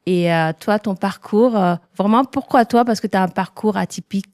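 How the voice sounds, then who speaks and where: A woman's voice: medium pitch, moderate pace, darkish tone, dry recording, clean studio sound. A woman from Haiti hosting an interview podcast, welcoming and enthusiastic, with plenty of hesitant fillers. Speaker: podcast host.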